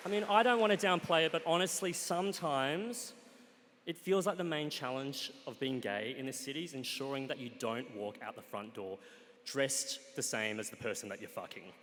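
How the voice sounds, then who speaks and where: A man with an Australian accent telling a comic personal story on stage, wry and playful, with deadpan punchlines. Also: medium pitch, normal speed. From Australia, Sunshine Coast, Queensland. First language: Cantonese. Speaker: guest storyteller. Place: stage in a live event hall with an audience. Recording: reverberant live hall.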